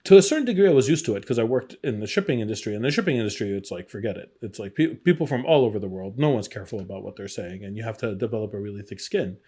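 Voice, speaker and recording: A middle-aged man is giving a personal interview in a conversational way. His voice sits at an ordinary male pitch, and he speaks candidly, retelling experiences and voicing the people in them. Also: lively intonation, rapid-fire, few pauses, dark tone, husky. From born in Iraq. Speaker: interview guest, game designer.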